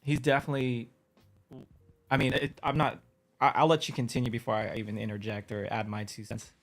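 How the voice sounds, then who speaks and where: A man's voice: medium pitch, little pitch movement, a bit bright, soft background music. Easygoing man hosting a video chat. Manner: easygoing, affirming, quick to laugh. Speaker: podcast host. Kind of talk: casual chat.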